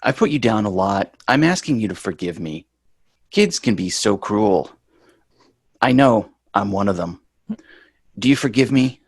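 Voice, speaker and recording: Man playing a sheepish, husky sitcom schoolboy. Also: lowish voice, animated, unhurried, pauses often, no room sound, clean studio sound, exaggerated voice. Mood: apologetic, reluctant. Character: sheepish, apologetic sitcom schoolboy, smooth-talking son. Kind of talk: amateur table read of a TV sitcom.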